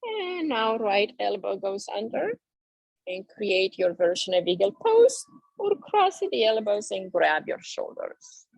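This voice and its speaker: Slow, gravelly-voiced woman yoga teacher. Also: mid-range voice, big pitch swings, a little mellow, no echo, muffled recording. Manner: slow, soothing, drawn-out cues. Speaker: yoga instructor. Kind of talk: guided yoga class instruction.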